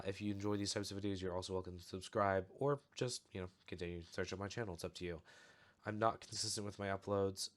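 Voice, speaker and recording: A young man with a low voice explains a game setup step by step in an easy, unhurried way. He is just getting over a cold, so his voice sounds a little off. Faint mouse clicks and typing sit behind him. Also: slight background noise. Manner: relaxed, explanatory, steady walkthrough with fillers. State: recovering from a cold, voice a bit off. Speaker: channel host giving a game tutorial. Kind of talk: casual screen-recorded tutorial.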